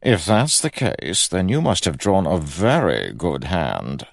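A man's voice: sits low, big pitch swings, slow pace, gravelly, no echo, studio quality, pitched above the performer's own voice, heavily acted. A man voicing a pompous, sly, greedy king of England in an audiobook, with an imperious, droll delivery. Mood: probing, covetous. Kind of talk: audiobook character acting.

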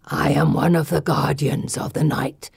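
Woman as ancient stone gargoyle, storybook guardian: very low, gravelly, slow, solemn and commanding. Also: steady tone, no room sound, clean studio sound, exaggerated voice. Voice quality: gravelly, deep. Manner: slow, grave, formal pronouncements.

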